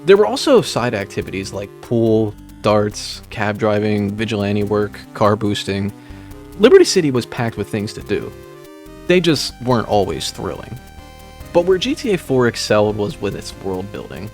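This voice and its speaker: A man reading a scripted video essay in a dry, wry, measured way. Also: on the low side, big pitch swings, darkish tone. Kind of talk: scripted narration. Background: background music.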